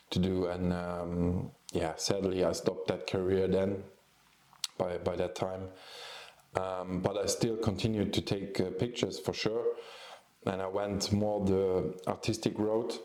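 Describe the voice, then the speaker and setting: Slow, deep-voiced man from Luxembourg. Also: flat delivery, a little mellow, roomy sound. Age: 40s. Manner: hesitant, many ums and restarts. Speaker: photographer and YouTube host. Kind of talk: casual vlog talk.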